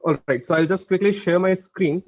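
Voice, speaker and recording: A man giving a company presentation over a video call, talking quickly and loosely with many fillers and restarts. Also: sits high. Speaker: engineering executive speaker. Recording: dull, dry video call audio.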